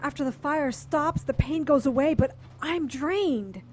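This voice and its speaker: Woman voicing an anxious heroine, slightly high voice, earnest, car rumble behind. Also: measured pace. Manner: earnest, questioning. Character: young woman with a fire power, anxious. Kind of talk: audio drama acting. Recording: road noise behind voice. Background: car engine ambience.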